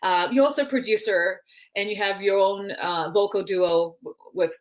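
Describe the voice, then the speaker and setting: A woman's voice: medium pitch, moderate pace. A woman hosting an interview, introducing her guest in an effusive, admiring way. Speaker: interview host. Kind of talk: casual interview.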